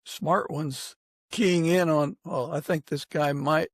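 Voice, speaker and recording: Slightly high male host, really unhurried and halting, dry close sound, casual interview chat. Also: steady tone, dry recording. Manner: halting, agreeable, affirming. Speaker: podcast host.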